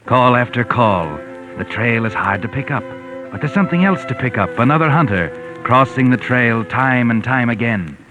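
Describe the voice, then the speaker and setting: An actor playing a sardonic, all-knowing narrator of a 1940s radio mystery, mocking and ominous, with theatrical drawn-out phrasing. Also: male voice, mid-range voice, lively intonation, close to an everyday voice. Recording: dull vintage radio recording, music behind. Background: music.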